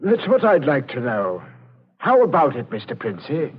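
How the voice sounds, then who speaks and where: Constable, lively pitch. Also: male voice, moderate pace, breathy edge. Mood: probing. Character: plain, plodding, inquisitive village police constable. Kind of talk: 1940s radio acting. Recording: muffled, hissy 1940s radio recording.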